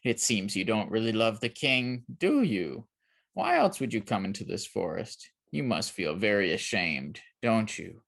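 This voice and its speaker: Narrator putting on a slow, sneering old villain. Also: medium pitch, sing-song, creaky, fairly bright tone, heavily acted. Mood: accusing. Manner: mocking, taunting with tag questions. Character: sinister sneering old man, shadowy accusing spirit of shame.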